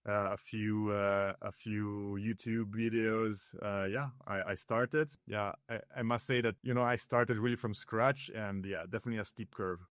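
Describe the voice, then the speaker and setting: Soft-spoken Italian-native man, hesitant. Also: on the low side, steady tone, clear, resonant tone, no room sound, clean studio sound. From northern Italy, raised in Switzerland. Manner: halting, many fillers and restarts. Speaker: ski builder guest. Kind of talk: casual interview chat.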